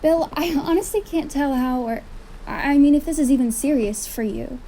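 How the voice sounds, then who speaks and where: Woman playing a high, mellow, weary young journalist. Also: moderate pace, a little hiss or hum. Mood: exasperated. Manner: dry, exasperated. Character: weary, sceptical young female investigative journalist. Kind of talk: naturalistic audio drama acting.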